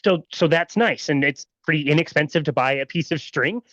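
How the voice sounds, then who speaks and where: A man's voice: fairly high pitch, brisk, a bit bright, no echo. A man hosting a podcast who thinks aloud and sums up what his guest said before asking a question. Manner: thinks aloud, repeats words, summarizes back to the guest. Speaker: podcast host. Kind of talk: casual interview chat. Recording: dry, slightly dull sound.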